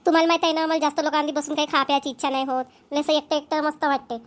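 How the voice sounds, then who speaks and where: A woman's voice: high voice, little pitch movement, very fast, lightly acted. A woman playing a weary, solitary young daughter-in-law in a family cartoon, grumbling plainly with a Vidarbha Marathi accent. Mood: defensive, irritated. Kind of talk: amateur family cartoon voice acting.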